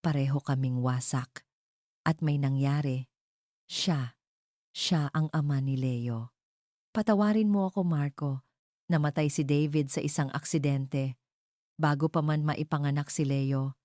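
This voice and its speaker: Remorseful young mother, audio drama, trembling and pleading; low, soft-toned female reader. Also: unhurried, pauses often, no echo, clean studio sound. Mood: guilty pleading. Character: tearful remorseful young mother confessing past pain.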